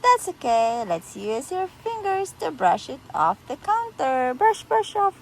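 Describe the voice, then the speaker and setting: Woman, storytime read-aloud, slow pace, lively swooping intonation, encouraging, slight background noise. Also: sits high, vocal fry, low-quality recording. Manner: sing-song, animated, encouraging. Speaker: mother reading to a toddler. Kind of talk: children's picture-book read-aloud.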